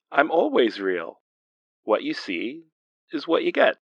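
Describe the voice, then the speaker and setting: An actor playing a guarded, joking young man with a soft heart in an audio drama romance, teasing and hesitant. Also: fairly high pitch, huge pitch swings, slow pace, gravelly, breathy edge, no room sound, dull audio, heavily acted. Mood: warm, hesitant. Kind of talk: naturalistic audio drama acting.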